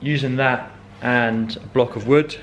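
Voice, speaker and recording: A man's voice: medium pitch, fairly even intonation, a little slow, slight background noise. A young man chatting casually in a car vlog, rambling with many ums and correcting himself as he goes. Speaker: car vlogger.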